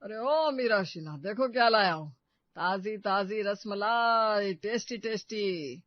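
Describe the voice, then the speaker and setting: Man acting a boastful old father, very high-pitched. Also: no room sound, dull audio. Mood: showy, delighted. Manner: bragging, drawn-out showy delivery. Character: boastful, jovial older father running a shop. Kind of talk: story cartoon voice acting.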